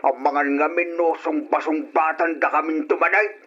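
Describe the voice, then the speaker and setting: A man playing a kindly, concerned old grandfather in a radio drama, husky and slow, giving advice. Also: on the high side, fairly even intonation, bright, forceful tone. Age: older. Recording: dull, lo-fi old radio recording.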